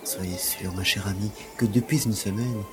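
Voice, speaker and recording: Man acting an earnest, theatrical gentleman, lowish voice. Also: steady tone, moderate pace, faint music behind, natural voice. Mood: anguished, solemn. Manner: formal, theatrical, literary. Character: earnest, self-dramatizing gentleman lover craving his punishment. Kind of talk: French audio drama acting.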